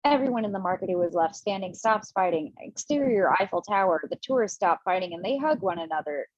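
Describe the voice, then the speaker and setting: A woman's voice: medium pitch, expressive, fairly quick, dry recording, lo-fi sound. Casual woman reading stage directions. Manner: casual, chatty reading with asides and self-corrections. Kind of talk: amateur table read narration.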